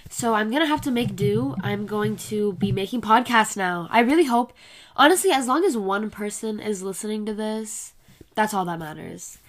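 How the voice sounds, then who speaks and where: A woman's voice: medium pitch, moderate pace. Congested, chatty eighteen-year-old woman. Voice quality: congested, nasal. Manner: chatty, blunt, swears freely. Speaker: podcast host. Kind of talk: casual solo podcast chat. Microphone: laptop camera with a separate mic.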